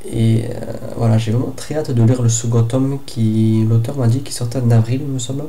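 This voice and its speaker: Young man with a cold, dark flat voice. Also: mid-range voice, a little fast. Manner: hesitant, lots of fillers and restarts. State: has a head cold. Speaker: book vlogger. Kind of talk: casual book review vlog.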